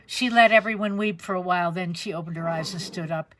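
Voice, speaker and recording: A woman reading a children's picture book aloud in an expressive storytelling manner. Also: lowish voice, fairly quick, a little hiss or hum. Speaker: storybook reader.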